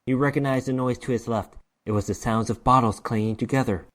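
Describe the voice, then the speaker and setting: A man's voice: medium pitch, steady tone, brisk. A man narrating a horror short story as a dramatic reading, with theatrical, emphatic delivery.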